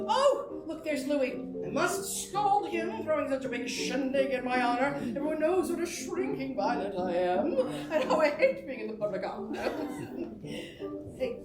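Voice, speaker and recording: Woman playing a high-voiced, effusive movie star. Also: lively intonation, measured pace. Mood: playfully coy. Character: Marie Dressler, effusive, jovial older woman film star. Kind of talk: staged musical reading. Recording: roomy stage recording with loud music.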